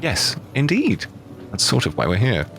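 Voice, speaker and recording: A man improvising a character in a tabletop game, talking in a measured, polite, businesslike way. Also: medium pitch, wildly swooping pitch, creaky, noisy background.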